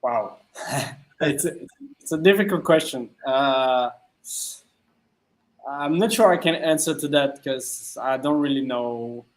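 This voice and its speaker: A man in his thirties with a French accent, a DJ guest on a video-call interview, halting and searching for words with many fillers. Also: medium pitch. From France. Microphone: studio mic on a video call.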